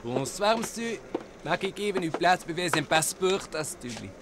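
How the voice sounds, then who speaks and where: A man's voice: on the high side, sing-song, normal speed. A man acting a polite, obliging French-speaking sleeping-car attendant in an old radio drama. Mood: polite, brisk. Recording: noisy old radio recording, train ambience.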